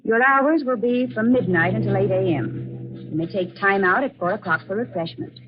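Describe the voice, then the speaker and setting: Radio drama role: woman playing efficient female supervisor, courteous but businesslike, medium pitch, lo-fi vintage recording. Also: normal speed, faint music behind, lightly acted. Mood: formal, businesslike. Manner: businesslike, precise. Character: brisk, formal, efficient female office supervisor. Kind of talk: old-time American radio drama acting. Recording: dull, lo-fi old radio recording.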